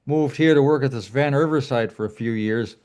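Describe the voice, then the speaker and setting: Older man, average pitch, casual self-introduction. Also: brisk, darkish tone.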